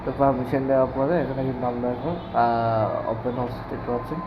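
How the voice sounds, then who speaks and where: A man's voice: medium pitch, fairly even intonation, fast talker. A man giving a casual tutorial as a tech video creator. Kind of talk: casual spoken screen-recording tutorial. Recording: dull lo-fi mic with clear background noise.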